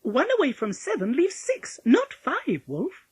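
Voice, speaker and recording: A clever little storybook girl, voiced by a woman, cautious but cheeky. Her voice sits high and lilts up and down a great deal. She sounds polite and matter-of-fact, always questioning. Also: wildly swooping pitch, a little slow, no room sound, full character voice. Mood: amused.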